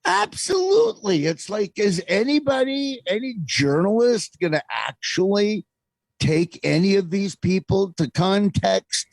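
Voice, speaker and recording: A man's voice: sits high, big pitch swings, measured pace, creaky, no echo. A middle-aged American man hosting opinionated talk radio, speaking in an exasperated, emphatic way full of rhetorical questions. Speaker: talk show host.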